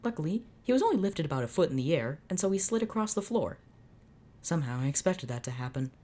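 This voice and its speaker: A woman's voice: low voice, big pitch swings, quick pace, warm tone. A young woman reading a fanfiction story aloud, doing character voices and breaking off into exasperated asides. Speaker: fanfiction reader. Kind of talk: dramatic fanfic reading with reactions.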